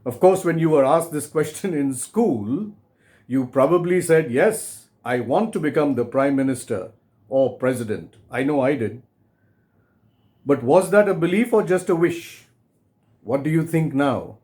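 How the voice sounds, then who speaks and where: Male author reading his book aloud. Slightly high-set voice, very unhurried, deliberate phrasing, addresses the listener directly. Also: animated, a little mellow. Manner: deliberate, short phrases with pauses, rhetorical questions.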